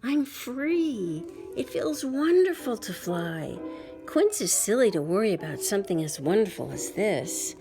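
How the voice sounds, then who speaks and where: A woman's voice: mid-range voice, sing-song, measured pace, music in the background. A woman voicing a small, cheerful, stubborn pig. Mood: elated.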